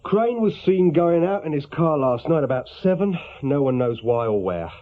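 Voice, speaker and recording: A man's voice: on the high side, big pitch swings, normal speed, muffled recording. A man playing a plain-spoken, deferential police sergeant with an English accent, reporting a little hesitantly in a radio crime drama. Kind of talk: naturalistic British radio crime drama acting. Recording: thin, band-limited radio drama recording.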